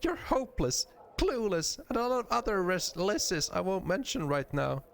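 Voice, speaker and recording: Game role: sweet, timid little young woman, played by a man, high and swooping, gushing and hesitant. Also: wildly swooping pitch, normal speed, creaky, slight background noise, exaggerated voice. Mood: indignant. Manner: hesitant, gushing, exaggerated when scolding.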